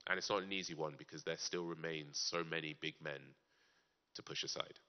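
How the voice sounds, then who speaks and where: A man speaking at a conference panel, deliberate and posing rhetorical questions. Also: very deep voice, fairly even intonation. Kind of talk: public talk at a conference. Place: conference in Germany. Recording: dull lo-fi sound.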